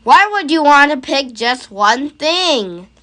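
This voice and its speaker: Woman as a high-voiced storybook count. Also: expressive, takes it slowly, bright, forceful tone, heavily acted. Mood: enthusiastic. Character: enthusiastic male count who loves numbers.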